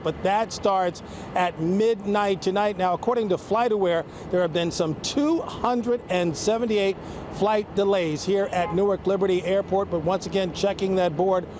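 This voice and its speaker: High-pitched American male news reporter, noisy outdoors. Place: outdoors at an airport. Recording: clear outdoor airport and traffic noise.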